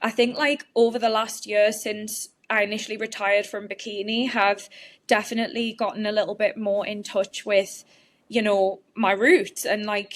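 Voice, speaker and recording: A woman's voice: medium pitch, a bit bright. Chatty young woman with a Geordie accent. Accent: Geordie (northeast England). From Newcastle, northeast England. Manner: chatty and open, explains at length. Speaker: guest, bodybuilding competitor. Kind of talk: conversational interview answers.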